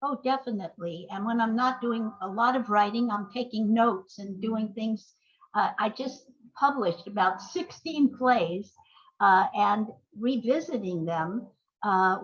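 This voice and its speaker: A woman's voice: medium pitch, fairly bright tone, lo-fi sound. An older woman giving conversational interview answers, explaining things through anecdotes with emphatic agreement. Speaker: guest, playwright and screenwriter.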